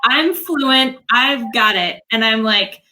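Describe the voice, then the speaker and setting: A young woman from Utah talks through her work as a language coach in a relaxed livestream interview. Her voice sits a little high and rings bright, and she explains things earnestly, often starting with "so" and "um". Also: slow, low-quality recording. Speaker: English language coach, guest.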